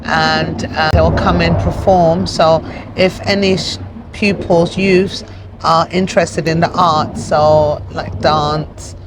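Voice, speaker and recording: A woman's voice: deep voice, fairly even intonation, takes it slowly, noisy background. A woman from Nigeria, a guest in an interview, talking earnestly and plainly with frequent fillers. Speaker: interview guest, community project founder. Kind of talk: conversational interview.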